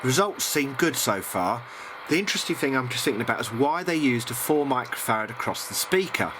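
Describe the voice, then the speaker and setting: Male hobbyist presenter, mid-pitched, tentative thinking-aloud delivery, informal workbench commentary, somewhat noisy homemade sound. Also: lively intonation, fairly quick. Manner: hesitant, musing, thinking aloud. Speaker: hobbyist radio repairer presenting a restoration.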